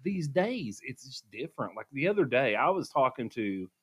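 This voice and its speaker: A man's voice: mid-range voice, moderate pace, husky. A man, co-hosting a podcast in casual chat, telling stories with lots of laughs. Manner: animated storyteller, laughs easily. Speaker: podcast co-host. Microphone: studio microphone.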